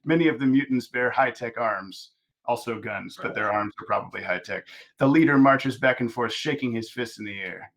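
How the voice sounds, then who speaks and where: Male narrator for a table read, mid-pitched, delivering scripted action lines and stage directions. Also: moderate pace, fairly bright tone, poor audio.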